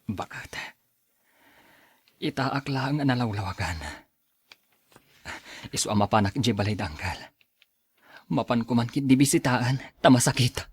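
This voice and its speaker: A man playing an engineer and younger brother, proud but able to soften, in an Ilocano radio drama. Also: mid-range voice, animated, a little slow, pauses often, slightly rough, dry recording. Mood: subdued, softened. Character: engineer younger brother, reluctant then humbled helper of relatives.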